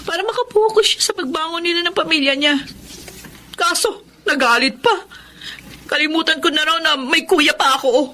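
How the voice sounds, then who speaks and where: High-voiced caring younger sister. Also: female voice, bright, forceful tone, slight background noise. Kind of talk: Tagalog radio drama acting.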